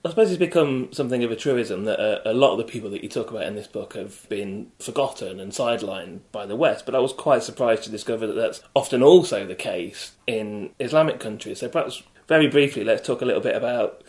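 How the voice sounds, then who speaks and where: Male interviewer, fairly low voice, courteous and measured. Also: a little mellow. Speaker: interviewer, host. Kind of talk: interview questions.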